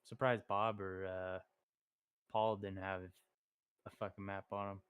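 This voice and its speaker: A man's voice: low-pitched, flat delivery, unhurried, fairly bright tone, clean tone. A man talking casually with friends as a player in a tabletop role-playing game. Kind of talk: casual chat among friends.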